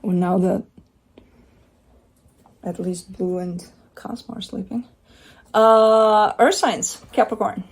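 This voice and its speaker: A woman's voice: medium pitch, steady tone, slow pace, long pauses, slightly rough. A woman giving a casual tarot reading at home, talking in a chatty, rambling way with blunt asides. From Romania. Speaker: tarot reader. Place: home by a window with a bird feeder.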